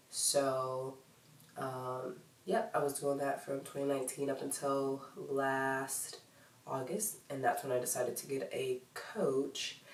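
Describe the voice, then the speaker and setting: Casting: American woman, thirties, stuffy nasal voice, loose chatty vlog delivery, recorded off-mic in an echoey room. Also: a bass voice. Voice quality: nasal, congested. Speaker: fitness vlogger. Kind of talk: casual vlog update to camera. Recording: roomy echo, distant microphone.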